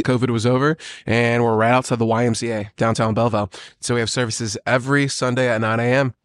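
A man in his thirties, a pastor and bartender, telling his story in a lively, animated way during a casual interview in a coffee shop. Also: mid-range voice, no echo. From grew up on US military bases.

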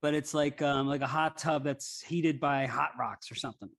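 A man's voice: on the high side, fairly even intonation, normal speed, fairly bright tone. A man who grew up in Hawaii, chatting casually and telling rambling anecdotes with many restarts. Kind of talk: casual chat.

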